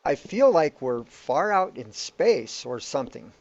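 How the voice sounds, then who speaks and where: Befuddled radio-play hero played by a man, puzzled and questioning, very lively pitch, muffled lo-fi recording. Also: a little slow, vocal fry, heavily acted. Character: befuddled bornless hero, questioning his unreal surroundings. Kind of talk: amateur radio play acting. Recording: dull lo-fi audio.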